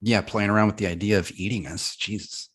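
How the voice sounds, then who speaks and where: A man's voice: lowish voice, fairly even intonation, a little fast, a bit bright, slightly rough. A man playing a sarcastic, grumbling roommate in his twenties at a comedy table read, stumbling over words in an everyday voice. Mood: annoyed. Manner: sarcastic, stammering.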